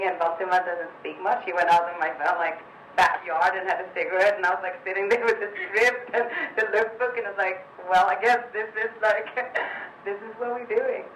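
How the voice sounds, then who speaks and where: A woman's voice: medium pitch, fairly bright tone, a little hiss or hum. Chatty woman in an echoey cinema. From Iceland. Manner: chatty, laughs often, quotes herself and others. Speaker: lead actress. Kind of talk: post-screening Q&A answers. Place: cinema auditorium. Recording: echoey hall.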